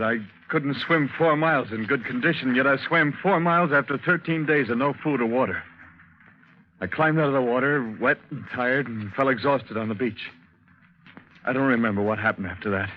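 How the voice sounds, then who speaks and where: A man's voice: medium pitch, brisk, lightly acted. A man playing a weary, haunted shipwrecked sailor, telling his ordeal sombrely in a 1940s radio drama. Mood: weary, grim. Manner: sombre first-person storytelling. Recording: dull, muffled 1940s radio recording.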